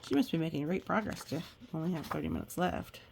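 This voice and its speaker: A woman reading a picture book aloud with chatty asides, reacting expressively and explaining things gently as if to young children. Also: deep voice, big pitch swings, fast, gravelly, a little hiss or hum.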